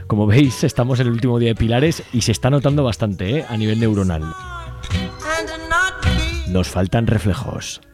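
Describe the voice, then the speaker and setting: A man's voice: medium pitch, moderate pace, long pauses, music in the background. A man hosting a radio show in an informal, chatty, colloquial way. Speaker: radio host. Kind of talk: casual radio presenting. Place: radio studio.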